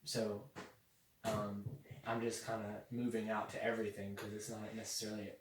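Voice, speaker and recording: Rambling young podcast host in an echoey room. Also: male voice, fairly low pitch, normal speed. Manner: rambling, filler-heavy, excited about social media. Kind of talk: casual chat. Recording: echoey room, mic at a distance, faint noise.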